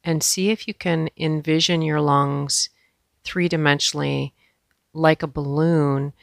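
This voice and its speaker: A woman leading a guided meditation as a yoga instructor, speaking gently and unhurriedly with pauses. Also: low voice, fairly even intonation, gravelly, no echo, clean studio sound.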